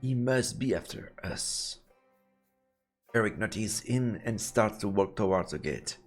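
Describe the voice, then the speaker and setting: A man's voice: fairly low pitch, unhurried, soft background music. A man doing let's play commentary on a visual novel, reading the narration aloud. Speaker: game streamer.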